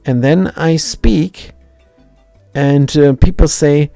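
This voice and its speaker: Gravelly German man muttering while coding. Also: a little high, slow pace, mellow, no room sound, faint music behind. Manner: self-deprecating, wry, mutters half-finished lines. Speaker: live-streaming coder. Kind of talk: thinking aloud while coding, chatting with viewers.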